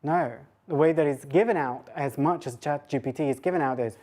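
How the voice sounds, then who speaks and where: Low-pitched woman lecturing informally. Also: a little fast, warm tone. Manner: hesitant, self-interrupting, thinking aloud with rhetorical questions. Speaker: lecturer giving a talk. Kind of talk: academic lecture, informal and conversational.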